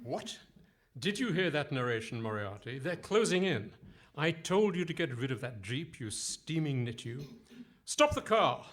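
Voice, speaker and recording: A man's voice: on the high side, very animated, a little slow, a bit bright, exaggerated voice. A man playing a pompous, greedy, scheming villain in a theatrical radio comedy, exclaiming and hurling florid insults. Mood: flustered, commanding. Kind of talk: Goon Show style radio comedy acting. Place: live performance on stage in a hall.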